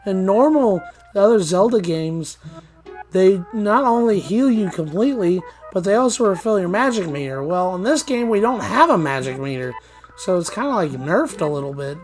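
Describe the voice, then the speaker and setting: A man with a slightly hoarse, groggy voice giving loose, rambling let's play commentary. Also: high-pitched, lively intonation, moderate pace, faint music behind. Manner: rambling, loose, with many fillers and restarts. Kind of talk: casual gameplay commentary.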